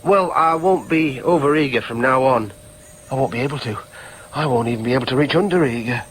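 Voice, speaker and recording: An actor playing an earnest, needy grown son in a radio drama, questioning himself emphatically. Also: male voice, medium pitch, fairly quick, slight background noise, close to an everyday voice, poor audio. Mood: hurt, forlorn. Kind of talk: radio drama with inner monologue.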